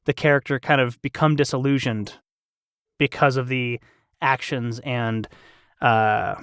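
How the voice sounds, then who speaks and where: A man's voice: medium pitch, fairly even intonation, unhurried, fairly bright tone, clear, resonant tone, no echo. Casual man talking things through. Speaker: cast member in a behind-the-scenes discussion. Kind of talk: casual discussion.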